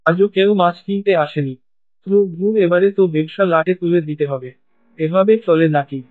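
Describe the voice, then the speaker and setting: A man plays a village fish seller in a cartoon, fretful and stubborn. His voice sits high and carries a plaintive, grumbling edge. The sound is dry and a little muffled. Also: moderate pace, no room sound, soft background music, natural voice. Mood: worried, complaining. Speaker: cartoon voice actor. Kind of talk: Bengali cartoon story acting.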